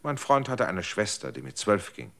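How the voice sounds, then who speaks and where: An anxious former rebel. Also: male voice, on the low side, big pitch swings, normal speed, a bit bright. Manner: earnest pleading, searching questions. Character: anxious former rebel, pleading, probing questioner. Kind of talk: radio drama acting.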